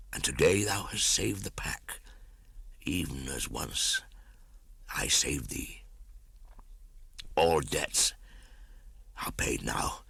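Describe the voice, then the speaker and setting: A man voicing an old, dying wolf in an audiobook, solemn and affectionate, speaking in halting, hushed phrases. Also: a bass voice, dry recording, full character voice. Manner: halting, hushed, breathy delivery in short phrases. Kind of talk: audiobook character acting.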